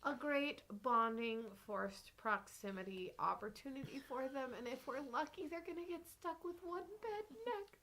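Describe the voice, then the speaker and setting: A woman's voice: sits high, animated, normal speed, few pauses, a bit bright. A woman playing a tabletop game, chatting casually and playfully.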